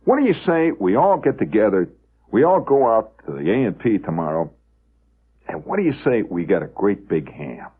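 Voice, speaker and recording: A man's voice: lowish voice, sing-song, normal speed, slightly rough. An American man voicing an eager, coaxing working-class father in a radio story, in a folksy manner. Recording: muffled old radio recording.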